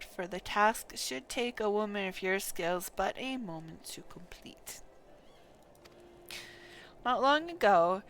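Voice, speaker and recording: A woman livestreaming a game playthrough, reading dialogue aloud in a theatrical, deliberate way with muttered asides. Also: mid-range voice, a bit bright, slight background noise. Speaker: game streamer.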